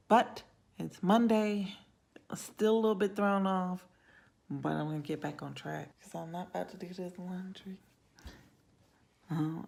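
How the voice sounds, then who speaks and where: A woman vlogging in a casual ramble to camera, with a raspy, hoarse voice and frequent drops into whispers. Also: on the low side, a little mellow. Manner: rambling, self-talk with many trailing whispers. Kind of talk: casual vlog talk to camera.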